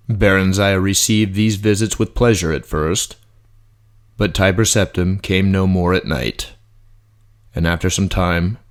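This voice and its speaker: Male audiobook narrator: lowish voice, animated intonation, dramatic scripted fantasy storytelling.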